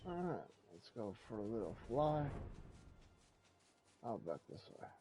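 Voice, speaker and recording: Slow-talking man casually streaming a game. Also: mid-range voice, big pitch swings, dark tone. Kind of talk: casual gameplay commentary.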